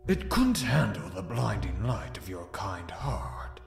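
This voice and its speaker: A man voicing a sinister, gloating dark hedgehog villain in a comic dub, with a gravelly, menacing, theatrical delivery. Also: sits low, big pitch swings, slow, full character voice. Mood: taunting. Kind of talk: scripted fan comic dub. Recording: echoey voice over background music.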